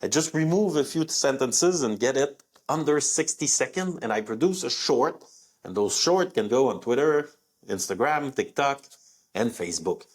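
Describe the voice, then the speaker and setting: A man's voice: medium pitch, animated, normal speed, breathy edge. A young man who speaks French as his first language, with a French accent, talking casually on his live podcast with many fillers. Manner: conversational, many fillers, rising emphasis on key words. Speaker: podcast host.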